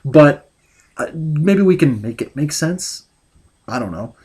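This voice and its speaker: A man's voice: fairly high pitch, lively intonation, darkish tone, breathy edge. A man giving a critical book review as a rant. He sounds exasperated, stops and restarts often, and records at home on a desktop mic. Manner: exasperated, ranting; halting, full of restarts.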